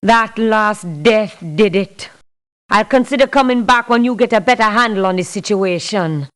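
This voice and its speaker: A woman playing a tough, principled mercenary for hire in a video game, speaking in a blunt, firm, measured way. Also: medium pitch, fairly bright tone, close to an everyday voice. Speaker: mercenary character in a strategy game. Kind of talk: video game voice clips, scripted refusals and barks.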